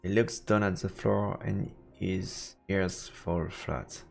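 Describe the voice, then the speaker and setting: Low, creaky man narrating dramatically. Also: steady tone, slow, darkish tone. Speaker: streamer reading a game aloud. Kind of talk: dramatic narration of a visual novel. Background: faint music.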